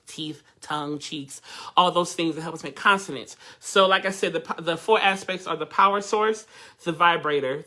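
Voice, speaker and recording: A young man with a high, nasal, twangy voice, teaching a live vocal workshop from his living room in a chatty, candid way. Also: bright, forceful tone. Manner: chatty and candid, addresses the group directly.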